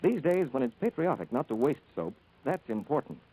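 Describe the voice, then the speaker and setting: A man announcing on 1940s radio in a polished, scripted sales style, as host and soap pitchman. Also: very animated, a little fast, warm tone, breathy edge, rough recording.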